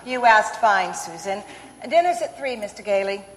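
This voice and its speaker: A woman's voice: medium pitch, animated, normal speed, slight background noise, natural voice. A woman playing a polite, practical, no-nonsense mother in an old-time radio play. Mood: flustered. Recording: reverberant hall.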